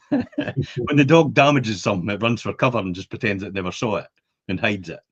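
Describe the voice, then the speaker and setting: A man's voice: fairly low pitch, normal speed, slightly rough. A man, chatting bluntly and jokily on a business podcast. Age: 50s. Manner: blunt, jokey, swears casually. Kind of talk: casual podcast chat. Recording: dull lo-fi sound.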